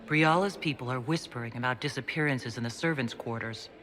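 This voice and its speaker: A man's voice: mid-range voice, brisk, a bit bright, a little hiss or hum, natural voice. An actor playing a wary male Inquisitor who questions everyone with dry skepticism. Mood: skeptical. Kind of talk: video game dialogue. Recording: game audio with music underneath.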